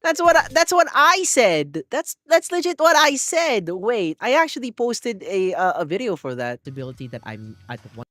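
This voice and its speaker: A man live streaming, chatting casually to his viewers in an excitable, rambling way with lots of restarts. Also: extremely high pitch, normal speed, hardly any pauses, dry recording. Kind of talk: casual chat to a live audience.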